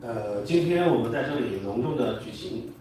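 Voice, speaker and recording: A man's voice: medium pitch, animated, mellow. Male Chinese company speaker, hesitant and formal, far from mic with faint noise. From China. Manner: halting, hesitant delivery. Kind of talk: formal speech. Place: large indoor hotel event room. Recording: very echoey hall, distant mic, faint noise.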